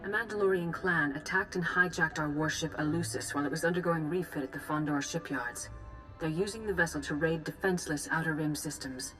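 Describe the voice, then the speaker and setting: Low-voiced, grave Jedi master. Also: female voice, brisk, a bit bright, faint music behind, poor audio. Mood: grave, urgent. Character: grave, composed female Jedi master commanding a mission. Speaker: Jedi leader character in a video game. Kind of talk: formal dramatic mission briefing.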